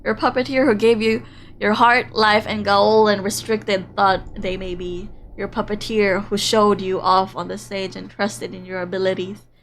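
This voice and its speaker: A woman's voice: medium pitch, little pitch movement, normal speed, slight background noise. A woman streamer reading a visual novel aloud in a playful, dramatic way, breaking off for casual asides. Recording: music behind the voice. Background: game background music.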